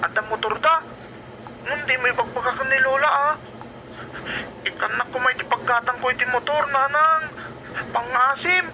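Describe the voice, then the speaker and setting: A man's voice: extremely high pitch, moderate pace, long pauses, background noise. A man playing a spoiled, wheedling teenage student in a radio drama, whiny and pleading, with a Filipino accent.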